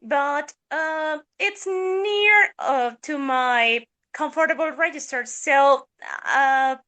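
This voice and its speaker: A woman's voice: sits high, slow pace, bright, forceful tone. A woman soprano discussing singing technique on a podcast, speaking thoughtfully with many hesitations. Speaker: soprano singer.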